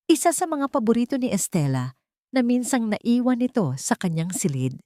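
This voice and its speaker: A woman's voice: mid-range voice, mellow, dry recording, clean studio sound. A woman with a Filipino accent narrating a dramatic audio story, voicing the characters' lines herself.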